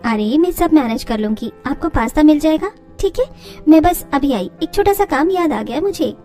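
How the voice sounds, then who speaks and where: A woman's voice: high-pitched, animated, moderate pace, warm tone, soft background music. A woman voicing a cheerful, confident, crafty young daughter-in-law in a cartoon family story. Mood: obliging, confident.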